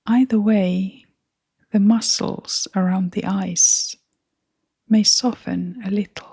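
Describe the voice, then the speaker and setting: Female meditation guide. Hushed, airy delivery at a very unhurried pace, gentle and calming, with generous pauses. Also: mid-range voice, no echo. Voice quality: soft, breathy, often near-whispering. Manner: gentle, soothing, with long pauses.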